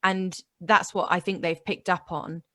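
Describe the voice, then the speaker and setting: A woman hosting a casual interview, encouraging and quick to agree. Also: on the low side, fairly even intonation, a bit bright. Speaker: podcast host.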